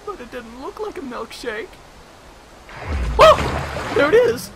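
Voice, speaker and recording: A man's voice: extremely high pitch, sing-song, takes it slowly, voice pushed up, exaggerated voice. A man voicing a jittery, easily spooked young male sailor who stammers in a panic. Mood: panicky. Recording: loud noisy lo-fi recording.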